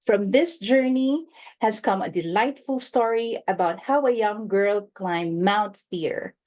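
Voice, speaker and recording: A woman's voice: mid-range voice, a little slow, a little mellow. A woman hosting a book interview show, introducing her guest and asking casual, friendly questions with a few "um" and "like" fillers. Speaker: host.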